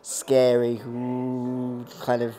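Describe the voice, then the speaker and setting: Mellow-voiced man explaining. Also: mid-range voice, monotone, drawn-out words, a little hiss or hum. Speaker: pantomime actor. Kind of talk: casual explanatory interview.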